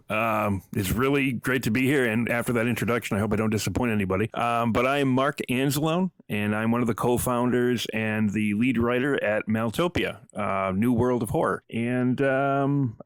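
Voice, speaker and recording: A man's voice: medium pitch, moderate pace, hardly any pauses, smooth, no echo. A man speaking casually as an interview guest, informal, rambling and self-effacing. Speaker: podcast guest, audio drama writer.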